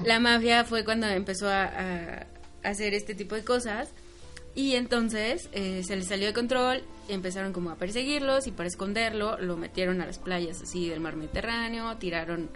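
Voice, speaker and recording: A woman's voice: medium pitch, normal speed, fairly bright tone, faint music behind. A young woman with a Mexican accent, co-hosting a podcast in a chatty way and steering the talk back on topic. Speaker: podcast co-host. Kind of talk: casual chat.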